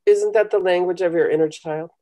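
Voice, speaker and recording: A woman's voice: fairly low pitch, fairly quick, warm tone. A middle-aged woman speaking on a video call, coaching and guiding a meditation in an instructional, encouraging manner. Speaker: guest leading a guided meditation. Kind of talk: conversational coaching and guided meditation. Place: small home room, indoors. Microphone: computer video call.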